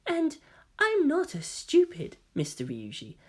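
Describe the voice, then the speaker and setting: A woman as androgynous hybrid youth, high lilting voice, coy, genteel, softly menacing, storybook villain. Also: wildly swooping pitch, unhurried, exaggerated voice. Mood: polite, calculating. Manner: genteel, gentle, teasing politeness.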